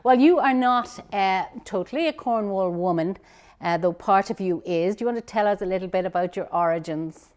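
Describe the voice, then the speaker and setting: A woman's voice: medium pitch, fairly quick, darkish tone, clean tone. A woman from Ireland hosting an online interview, asking friendly, leading questions over a video call. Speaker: interviewer and host.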